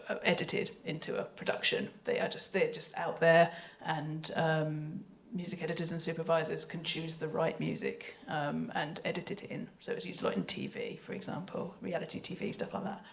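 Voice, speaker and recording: A woman's voice: deep voice, level tone, moderate pace, gravelly, hardly any pauses. A woman speaking on a panel as a composer and sound designer, chatty, hesitant and often interrupting herself. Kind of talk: panel talk about creative work.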